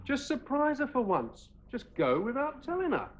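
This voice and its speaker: A man in his thirties playing a cheeky, laddish husband who teases his friend with deadpan quips. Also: very high-pitched, moderate pace, exaggerated voice. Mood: teasing. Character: cheeky laddish young husband, dry joker. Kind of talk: 1980s sitcom acting. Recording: dull lo-fi TV audio with hiss.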